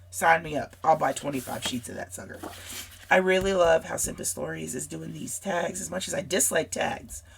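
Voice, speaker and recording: A woman hosting a scrapbooking vlog, hoarse from a cold and losing her voice, chatty and rambling as she talks straight to her viewers. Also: on the low side, a little hiss or hum. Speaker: scrapbooking channel host. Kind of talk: casual craft supply walkthrough.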